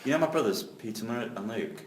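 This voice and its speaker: An actor playing an easygoing, hopeful brother who keeps the peace, in a conciliatory, low-key drama reading. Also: male voice, sing-song, normal speed. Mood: casual, resigned. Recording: echoey room, distant, faint noise.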